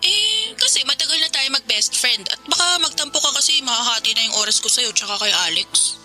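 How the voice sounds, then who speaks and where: A woman's voice: sits high, animated, brisk, loud music behind the voice. A woman playing a bubbly, teasing young best friend in a Filipino radio drama, chatty and playful. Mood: sheepish.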